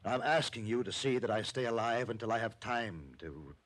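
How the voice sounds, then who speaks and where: An actor playing a frightened, evasive wealthy oil businessman in an old-time radio drama, halting and melodramatically pleading in a husky voice. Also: lowish voice, expressive, fairly bright tone. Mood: anxious, evasive.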